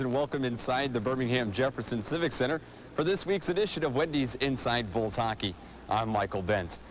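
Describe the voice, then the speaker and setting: A man's voice: medium pitch, slight background noise. A man hosting a sports show, speaking in a polished broadcast style as he presents and interviews. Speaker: TV sports show host and play-by-play announcer. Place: arena civic center.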